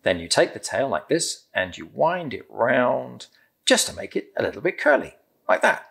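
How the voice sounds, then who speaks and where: A middle-aged British man gently and encouragingly demonstrating a craft step by step in simple instructions. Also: medium pitch, very animated, normal speed, vocal fry, husky. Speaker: craft presenter. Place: indoor room with timber-framed walls, at a table.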